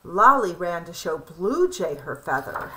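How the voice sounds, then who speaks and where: A woman reading a children's picture book aloud in an expressive, emphatic and deliberate way. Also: on the low side, poor audio. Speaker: storybook reader.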